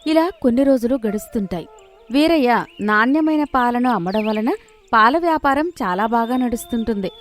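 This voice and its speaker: A woman's voice: on the high side, animated, moderate pace. A woman narrating a scripted moral story. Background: faint background music.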